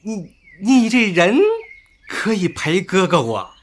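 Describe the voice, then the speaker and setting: A man's voice: sits high, big pitch swings, takes it slowly, exaggerated voice. An actor in a radio drama playing a taunting, blustering male street thug who bullies and leers. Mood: smug, leering. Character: leering male street thug, bullying extortionist.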